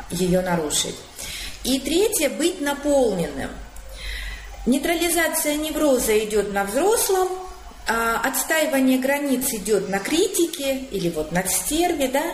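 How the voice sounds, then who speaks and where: A woman coach hosting a live stream, explaining psychology ideas in numbered points and thinking aloud with fillers. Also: mid-range voice. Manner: thinking aloud with fillers and restarts, emphatic lists. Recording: roomy echo with faint room noise.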